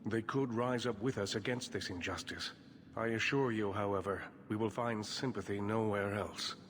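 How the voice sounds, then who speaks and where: An actor playing a formal, grave male mage leader in a video game, speaking in a measured way. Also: fairly low pitch, fairly even intonation, a bit bright, long reverb tail, slight background noise, close to an everyday voice. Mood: grave, resolute. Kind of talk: video game cutscene acting.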